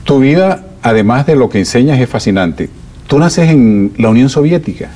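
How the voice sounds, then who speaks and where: A man's voice: medium pitch, animated, moderate pace, mellow. A man hosting a TV interview, asking leading questions and summarizing his guests' answers. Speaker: TV host.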